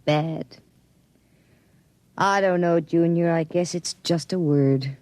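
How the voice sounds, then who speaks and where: An actress playing an anxious, emotional woman in a 1950s radio drama, with a breathy voice. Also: fairly low pitch, takes it slowly, darkish tone, no echo. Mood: uneasy. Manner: emotional, pleading. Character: anxious woman confronted by a lovestruck robot.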